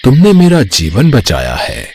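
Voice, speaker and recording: A man voicing a kindly old forest hermit in a Hindi moral-story cartoon, speaking in a solemn, measured manner. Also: mid-range voice, wildly swooping pitch, a little mellow, a little hiss or hum. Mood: benevolent, solemn.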